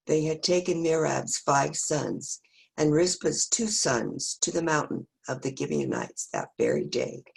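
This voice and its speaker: A woman's voice: low voice, sing-song, unhurried, creaky, husky, poor audio. A woman reading a scripted, dramatic narration of a Bible story as a church reader.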